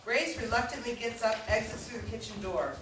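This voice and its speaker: A woman narrates stage directions from a script, with a slightly high voice. She is far from the mic in an echoey room, with clear background noise. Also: fairly quick. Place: indoor staged reading, recorded from afar.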